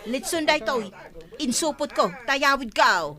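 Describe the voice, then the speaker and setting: A woman's voice: high voice, expressive, a little slow, sharp tone, full character voice. A woman playing a nosy, irritable village wife who nags and gossips, in a radio drama with an Ilocano accent. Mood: urgent scolding. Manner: nagging, exclamatory, gossipy. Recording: noticeable background noise.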